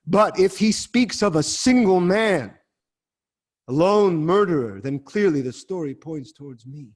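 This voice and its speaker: Tormented king, hushed dread then raw outbursts, slightly high voice. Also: expressive, a little slow. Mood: anxious. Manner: swings from hushed dread to loud anguish. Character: anguished king confessing killing, male adult. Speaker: actor in dramatic reading. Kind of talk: dramatic reading over video call. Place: home, video call.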